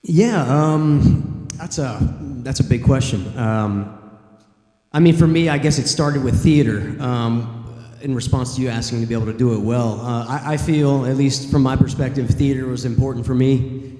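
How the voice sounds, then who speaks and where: A man's voice: mid-range voice, moderate pace, dark tone. A man on a convention panel, a voice actor, talking through his answer in a rambling way with many fillers. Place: large convention hall. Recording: echoey hall with long reverb.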